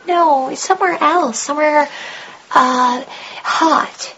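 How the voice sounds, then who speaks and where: A woman's voice: sits high, takes it slowly, muffled recording, background noise. An actress playing a possessed twelve-year-old girl in a radio drama, eerie, dreamy and knowingly taunting.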